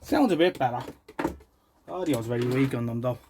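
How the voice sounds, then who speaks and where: An Irish man with a mid-pitched voice talks casually through a hands-on repair. He is informal and unhurried, half muttering to himself. There is some faint noise behind him. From Ireland. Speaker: presenter of a vintage radio repair channel.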